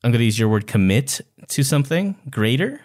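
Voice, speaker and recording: A man talks as a podcast co-host in a casual book chat. His voice has an average pitch, and his delivery is informal, often reaching for the right word. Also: big pitch swings, brisk.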